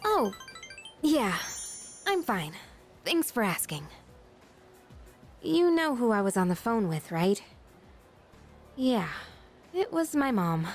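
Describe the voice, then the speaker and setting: A woman's voice: fairly high pitch, sing-song, takes it slowly, long pauses. A woman playing a proud, guarded, independent teenage girl in an anime-style video game, speaking in a frank, firm way. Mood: bitter, subdued. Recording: music behind the voice.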